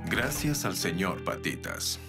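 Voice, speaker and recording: Earnest cop robot from a cartoon, lowish voice, very formal, faint music. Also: male voice, very animated, takes it slowly, exaggerated voice. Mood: matter-of-fact. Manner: formal, literal-minded. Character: earnest, rule-bound male police robot. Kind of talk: animated cartoon dub.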